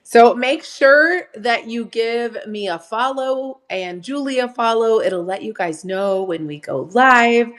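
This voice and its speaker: A slightly hoarse woman hosting a live sale stream, chatting casually, greeting viewers by name and laughing often. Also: on the high side, animated, takes it slowly, hardly any pauses, fairly bright tone.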